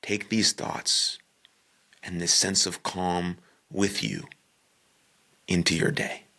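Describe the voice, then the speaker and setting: A man with a low, creaky voice leads a guided meditation at a very slow pace. He speaks in short, calm phrases with deliberate pauses between them. The delivery is husky and breathy. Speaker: podcaster leading guided meditation.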